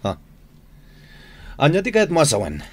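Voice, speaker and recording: Madamdamin at mapaghinanakit na anak. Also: male voice, on the high side, wildly swooping pitch, normal speed, breathy edge, full character voice. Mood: suspicious. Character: resentful, suspicious grown son of a crime boss. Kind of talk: Ilocano radio drama acting. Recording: noisy AM radio drama recording.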